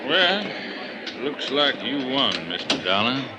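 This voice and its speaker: A man's voice: medium pitch, big pitch swings, takes it slowly. An actor playing a stubborn, folksy male prosecutor with a courteous manner in a vintage radio drama. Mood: grudging. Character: stubborn, folksy male district attorney's official. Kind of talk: 1950s radio drama acting. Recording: noisy vintage radio recording.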